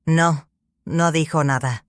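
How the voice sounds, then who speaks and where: A man's voice: on the high side, fairly even intonation, slow pace, no echo. A man acts out a young sorceress, submissive and weary. The voice is raised a little, plaintive and deferential, in a very dry audiobook recording. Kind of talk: dramatised audiobook dialogue.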